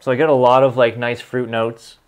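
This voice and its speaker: A man in his thirties with a Canadian accent, chatting casually as a channel host, relaxed and full of ums as he reads comments and tastes whiskey. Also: mid-range voice, moderate pace. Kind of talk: casual vlog, reading comments and tasting. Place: small home studio with bottles on a desk.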